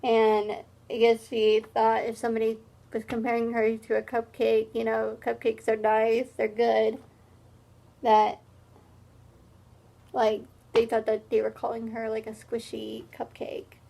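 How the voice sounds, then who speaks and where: Lady teacher doing a read-aloud, high voice, slow pace, stops to explain stuff kinda haltingly. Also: completely flat delivery, gravelly, mellow. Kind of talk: picture book read-aloud with simple explanations.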